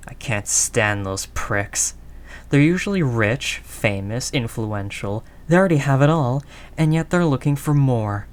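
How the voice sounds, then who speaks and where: A man's voice: medium pitch, lively intonation, a little slow, close to an everyday voice. A man playing a world-weary, doting mafia boss in an intimate roleplay, talking in teasing street slang with pet names. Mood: disgusted. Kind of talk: intimate scripted roleplay audio drama monologue.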